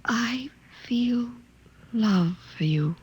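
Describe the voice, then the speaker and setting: Breathy, earnest woman scientist. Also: fairly high pitch, expressive, extremely slow, a little mellow, heavily acted. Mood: wondering, tender. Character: earnest, troubled female scientist awakening to love. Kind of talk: 1950s radio drama acting.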